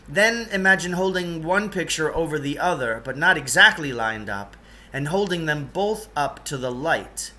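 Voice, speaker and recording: A man's voice: fairly high pitch, sharp tone. A man reading a children's novel aloud as narration.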